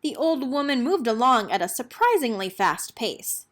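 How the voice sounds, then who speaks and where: A woman narrates a children's picture book in a fairly high voice. Her pitch moves widely, giving the scripted reading an expressive, storytelling lilt. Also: moderate pace. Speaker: read-aloud storyteller.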